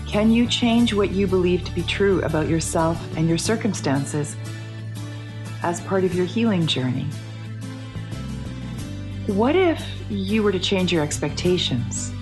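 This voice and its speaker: A woman's voice: on the low side, animated, a little slow, pauses often, music in the background. A woman radio host reading a scripted promo for a show.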